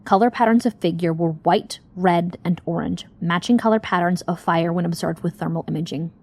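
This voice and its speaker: A woman's voice: fairly low pitch, fairly quick, darkish tone. A woman acting in an audio drama as a guilt-ridden young woman searching for her missing sister. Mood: clinical, reading aloud.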